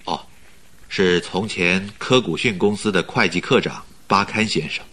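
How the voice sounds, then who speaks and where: An actor in a Mandarin radio drama playing a polite, flattering company recruiter who talks in a measured, persuasive way. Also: male voice, low voice, slightly rough, heavily acted. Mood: courteous, flattering. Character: smooth-talking male company recruiter, flattering, persuasive schemer.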